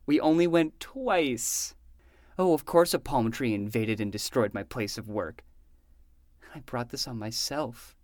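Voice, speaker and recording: A man's voice: medium pitch, a little slow, darkish tone, no room sound, clean studio sound, lightly acted. A man playing an exasperated, sarcastic librarian in a fiction podcast, ranting with dramatic disbelief. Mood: bitter, despairing. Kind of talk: scripted fiction podcast acting.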